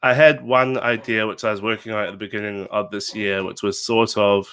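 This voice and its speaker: A man's voice: lowish voice, level tone, fairly quick, a bit bright, clean tone. A man in his twenties with a New Zealand accent, a game designer chatting casually as an interview guest, rambling with fillers and restarts. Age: 20s.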